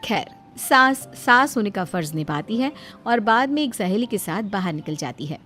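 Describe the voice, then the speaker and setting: A woman giving scripted narration for an animated moral bedtime story. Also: mid-range voice, normal speed. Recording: music behind the voice. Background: background music.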